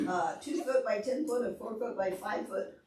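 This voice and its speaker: A woman presenting to preschoolers and parents, explaining simply and asking questions in an animated, demonstrative way. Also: mid-range voice, a little slow, slight background noise. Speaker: visiting presenter at a children's library program. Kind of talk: interactive talk to preschoolers and parents. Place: large indoor library meeting room. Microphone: far camera. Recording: echoey room, distant camera microphone.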